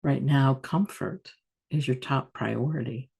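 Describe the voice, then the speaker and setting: A woman meditation teacher guiding a yoga nidra practice in a soft, soothing, unhurried manner with long pauses. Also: rumbling low, creaky, breathy edge.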